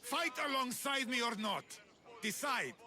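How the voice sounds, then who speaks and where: Imperious Spartan general, bright forceful voice. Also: male voice, very high-pitched, expressive, measured pace, exaggerated voice. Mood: menacing. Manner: imperious, boastful, curt. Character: ruthless, proud, ambitious male Spartan general. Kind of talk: scripted video game acting.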